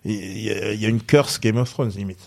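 A man's voice: fairly low pitch, fairly even intonation, a little fast, creaky, darkish tone. A man as a guest on a casual pop-culture chat, laid-back, often answering with short agreeing replies. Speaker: podcast guest.

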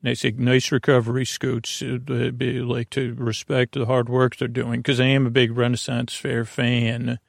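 A man hosting a sleep podcast in an informal, meandering, gently reassuring monologue, hesitating and trailing off mid-thought. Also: mid-range voice, flat delivery, moderate pace, gravelly, few pauses, no echo, clean studio sound. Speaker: podcast host.

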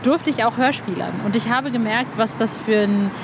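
A woman's voice: on the high side, normal speed. A woman interviewing casually, searching for words as she talks. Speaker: podcast interviewer. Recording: clear urban background noise.

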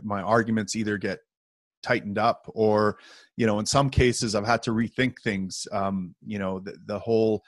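A man with a fairly low voice chats as a podcast co-host. He is informal and jokey, happy to swear a little, and the sound is dry, with hardly any room to it. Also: steady tone, moderate pace, dry recording. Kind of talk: casual conversational podcast chat.

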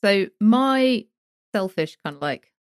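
A woman, co-hosting a podcast and telling personal stories in a relaxed, confiding way. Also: mid-range voice, takes it slowly, clear, resonant tone, dry recording, studio quality. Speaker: podcast co-host. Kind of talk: casual book discussion chat.

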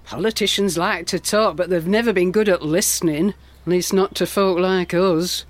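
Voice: medium pitch, steady tone, moderate pace, no echo, natural voice. A woman acting a suspicious, grumbling working-class sceptic in a dramatised documentary scene, speaking bluntly and accusingly.

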